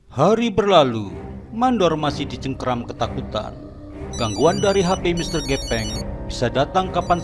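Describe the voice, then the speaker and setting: A man giving dramatic, scripted narration for a horror cartoon. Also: mid-range voice.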